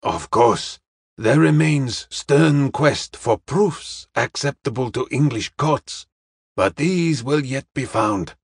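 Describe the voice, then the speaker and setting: Man as a detective, serene, courteous, deliberate phrasing, audiobook character, medium pitch. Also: lively intonation, slightly rough, dry recording, clean studio sound, exaggerated voice. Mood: calm, assured. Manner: slow, deliberate, formally polite, drops articles.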